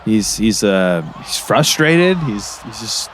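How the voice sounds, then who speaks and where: An actor playing an excitable, folksy small-town color commentator at a basketball game, with halting, repetitive phrasing. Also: male voice, fairly low pitch, a little hiss or hum. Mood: concerned. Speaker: sports commentator. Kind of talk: improvised-sounding basketball commentary in an audio drama.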